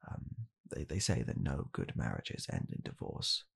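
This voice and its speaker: A young British man in a hushed, halting, deadpan comedic ASMR roleplay, playing a bitter, weary father coldly blaming his child. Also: rumbling low, vocal fry, husky. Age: young adult. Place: small home room, indoors.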